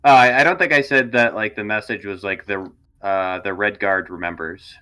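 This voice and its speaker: A man's voice: on the low side, normal speed, bright, forceful tone. A man playing a tabletop roleplaying game, casually and a little hesitantly describing his character's actions.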